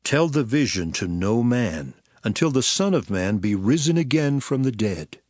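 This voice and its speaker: An actor playing a solemn, authoritative teacher and master in a dramatized scripture, declaiming deliberately. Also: male voice, medium pitch, very animated, a little mellow, dry recording, studio quality. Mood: calm authority. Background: music.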